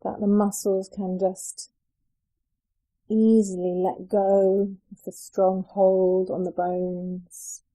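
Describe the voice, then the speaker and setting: A woman guiding a yoga nidra relaxation as a meditation teacher, speaking in a soothing, gentle, unhurried way with pauses. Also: mid-range voice, flat delivery.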